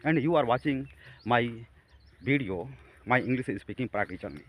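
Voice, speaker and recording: A man from India with an Indian accent, a Hindi speaker, talking haltingly to camera about practicing English, with many fillers and restarts. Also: medium pitch, animated. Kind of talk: casual talk to camera.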